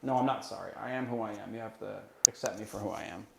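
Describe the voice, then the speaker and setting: Loud, resonant man chatting on a livestream. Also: fairly low pitch, steady tone, fast, vocal fry, background noise. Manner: chatty, rambling, answers viewer questions. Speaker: cocktail channel host. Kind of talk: livestream casual chat.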